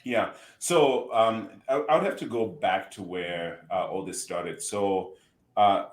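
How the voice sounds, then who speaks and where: A middle-aged man from Zimbabwe with a Zimbabwean accent, talking as a guest in a relaxed podcast interview and often repeating a word as he restarts a sentence. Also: on the low side, takes it slowly. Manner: relaxed, repeats words as he restarts, chuckles easily. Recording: roomy echo.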